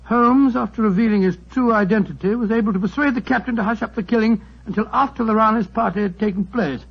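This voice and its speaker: An actor playing a loyal, fussy older English doctor in a 1940s radio drama, with a British accent. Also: male voice, sits high, fast talker, gravelly, slightly rough, exaggerated voice. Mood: reflective. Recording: muffled old 1940s radio recording.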